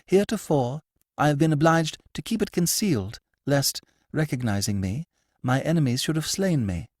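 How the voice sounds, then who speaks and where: A man's voice: a little high, lively intonation, moderate pace, no echo, studio quality, voice pushed up. A man reading a story, voicing a veiled, agitated noblewoman with a piercing, urgent and imperious manner. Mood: fervent. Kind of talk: audiobook character voice.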